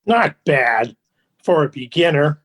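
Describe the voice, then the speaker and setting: A woman playing an eerie, delighted night dweller in a radio play, with theatrical, drawn-out delivery. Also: deep voice, expressive, exaggerated voice. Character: pale, eerie, delighted department-store dweller.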